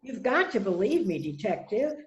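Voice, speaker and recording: Anxious, earnest wife played by a woman, swooping theatrical pitch, amateur script reading, muffled sound. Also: very animated, moderate pace, background noise, close to an everyday voice. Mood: pleading. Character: anxious wife of murdered man, protesting innocence. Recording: roomy echo, dull muffled sound.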